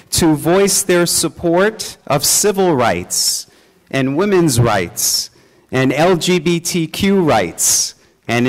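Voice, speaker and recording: A man who is the pastor, welcoming his congregation and introducing a service in a church with an earnest, measured delivery. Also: fairly high pitch, low-quality recording.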